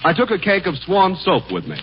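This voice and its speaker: An American man announces on an old radio show with very lively intonation. He trades scripted comedy and pitches products. The recording is dull, with faint noise. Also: fairly quick. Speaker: announcer and sidekick. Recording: dull, slightly noisy 1940s radio recording.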